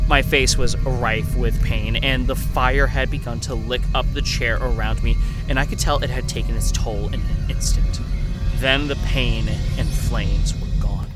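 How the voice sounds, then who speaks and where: A man's voice: mid-range voice, natural voice, low-quality recording. An actor playing a haunted, earnest survivor who recounts his ordeal in grave, formal, theatrical narration for a horror audio drama. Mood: tense, haunted. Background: music.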